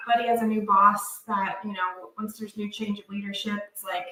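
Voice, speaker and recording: A woman, co-hosting a casual homestead livestream and telling excitable stories. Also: mid-range voice, normal speed. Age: 30s. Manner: chatty, excitable, storytelling. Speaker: livestream co-host. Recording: roomy, from a distance off the mic.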